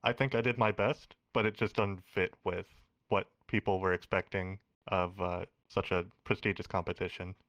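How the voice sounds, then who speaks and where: A man's voice: low-pitched, flat delivery, normal speed, few pauses, a bit bright, dry recording. A man speaking casually as an eliminated contestant in an online interview, joking and rambling through his answers. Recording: dull, lo-fi mic sound.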